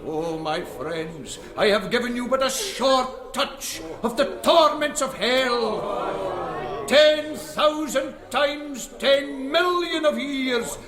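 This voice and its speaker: An actor playing a zealous Scottish Presbyterian preacher, declaiming a thundering hellfire sermon in drawn-out rhetoric for a radio drama. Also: male voice, extremely high pitch, lively intonation, a crowd in the background, exaggerated voice. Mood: fiery, menacing. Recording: echoey church with congregation noise.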